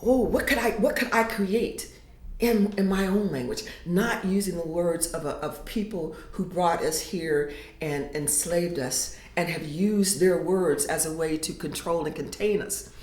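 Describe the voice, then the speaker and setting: A woman poet reading her verse slowly and dramatically, sinking to whispers at times, then talking casually about her writing. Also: lowish voice. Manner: slow, dramatic reading with hushed whispered lines. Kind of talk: poetry reading and casual interview. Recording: echoey room.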